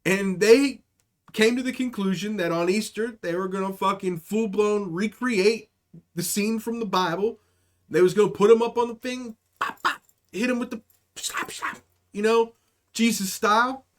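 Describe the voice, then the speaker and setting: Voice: medium pitch, moderate pace. A live stream comedy host telling stories casually to chat, with slangy, profane language and acted-out quotes.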